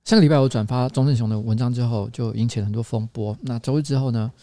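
A man's voice: medium pitch, fast talker, no room sound. A man in his forties with a Taiwanese accent hosting a podcast in a casual, jokey storytelling manner. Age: 40s. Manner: animated, jokey storytelling with frequent laughter. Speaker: podcast host. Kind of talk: casual comedic podcast chat.

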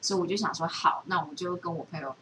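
A woman with a Taiwanese Mandarin accent chatting casually and telling anecdotes in an animated way. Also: fairly low pitch, fast talker, low-quality recording. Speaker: podcast co-host. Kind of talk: casual chat, personal anecdotes. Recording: faint background noise.

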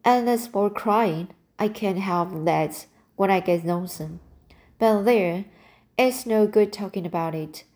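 A woman reading the lines of a weary, bitter elderly woman in a slow, plain voice. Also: medium pitch, a little mellow, close to an everyday voice.

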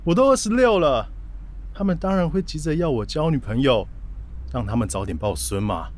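Actor as a coaxing, evasive young Taiwanese boyfriend. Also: male voice, fairly high pitch, animated, normal speed, slight background noise, natural voice. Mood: coaxing, placating. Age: 20s. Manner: coaxing, excuse-making. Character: placating, evasive boyfriend of twenty-six. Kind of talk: radio drama acting.

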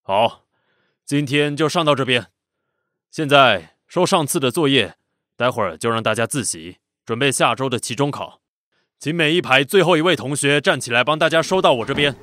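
An actor playing a patient, resigned male high-school teacher in an audio drama, matter-of-fact and mildly weary. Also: animated, normal speed, a bit bright, no echo, studio quality, lightly acted. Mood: businesslike. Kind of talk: Mandarin audio drama acting.

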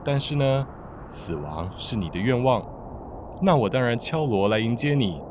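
A man's voice: mid-range voice, animated, moderate pace, heavy background noise, close to an everyday voice. A man voicing a male messenger of death who speaks playfully and with mock kindness, over music. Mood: teasing.

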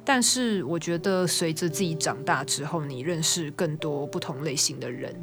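A woman with a Taiwanese Mandarin accent answers as an interview guest. She speaks reflectively and conversationally, with music clearly playing behind her. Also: deep voice, normal speed.

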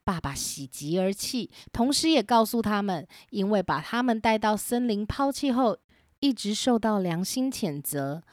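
Woman storyteller for a kids' show, gentle, mid pitch, dry close sound. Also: lively intonation, normal speed, no echo. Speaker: storyteller host. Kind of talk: children's story narration. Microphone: simple home recording setup.

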